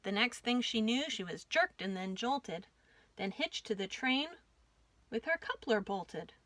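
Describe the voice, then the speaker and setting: A woman reading a rhyming picture book aloud for young children in an expressive storytime style. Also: a little high, a little slow, no room sound. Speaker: children's storytime reader at a library.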